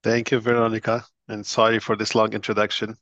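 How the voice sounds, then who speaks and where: A gravelly, somewhat low-voiced middle-aged man from Lebanon, speaking as an interview guest. He explains with animated conviction, restarting phrases often. The sound is dry and a little muffled. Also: a little fast, dry recording. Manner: earnest, animated explainer with frequent restarts and laughs. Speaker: interview guest, physician and company chief. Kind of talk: conversational interview.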